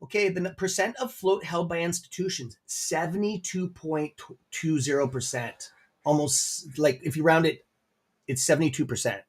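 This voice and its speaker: Rambling Canadian man, slightly high voice. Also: steady tone, moderate pace, breathy edge. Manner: rambling, filler-heavy, friendly. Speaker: guest presenter on a stock livestream. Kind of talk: casual livestream chat.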